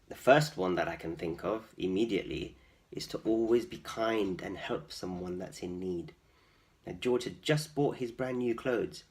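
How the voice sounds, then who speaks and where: Guy doing kids storytime, really animated pitch, unhurried, explains stuff and asks questions. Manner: explanatory, addressing children with questions. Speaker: storytime host and reader. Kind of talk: expressive read-aloud for young children.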